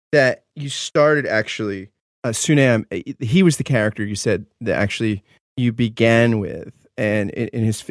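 A man interviewing a novelist, asking questions in a halting way, with frequent stumbles and restarts. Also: mid-range voice, no echo, studio quality.

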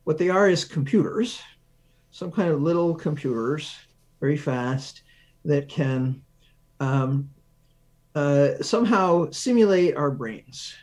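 Male interview guest, scientist type, slightly high voice, explains step by step with analogies and hesitant pauses. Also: lively intonation, a little mellow. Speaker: guest research physicist.

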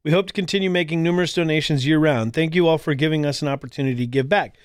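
A man's voice: on the high side, very fast, clean tone, no echo, studio quality. A male host of a talk radio show and podcast, chatting casually and rambling with lots of fillers and restarts. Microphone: studio microphone.

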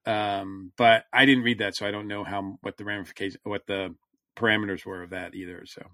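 Halting man chatting on a fan podcast. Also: lowish voice, steady tone, pushed and bright, dry recording. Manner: halting, searching for words mid-thought. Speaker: podcast co-host discussing science fiction. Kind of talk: casual, fannish panel chat.

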